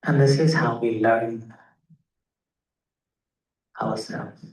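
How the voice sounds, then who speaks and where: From across an echoey room, a man leads a guided meditation. His delivery is slow and measured, with pauses between short phrases. He sounds like a teacher giving step-by-step instruction. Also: mid-range voice, a little mellow. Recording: roomy echo, distant microphone.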